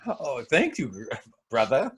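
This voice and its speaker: Man voicing a preening German-accented Gretel, slow and lilting. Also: medium pitch, wildly swooping pitch, a bit bright, slight background noise. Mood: chatty. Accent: put-on German. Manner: sing-song, preening. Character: vain young woman Gretel, fairy-tale girl.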